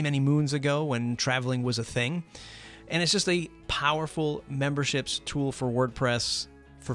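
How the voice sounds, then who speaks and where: A man's voice: mid-range voice, a bit bright. A man hosting a podcast in a casual, ad-libbed way, chatty and informal, repeating phrases for emphasis. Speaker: podcast host. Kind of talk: casual ad-libbed sponsor reads and interview.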